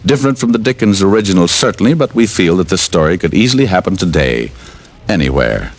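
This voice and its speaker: A wry radio private eye. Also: male voice, sits low, expressive, fast. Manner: wry, easygoing storyteller. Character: wisecracking male private eye, adult. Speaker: private detective narrator. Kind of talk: 1950s radio drama narration. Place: radio studio.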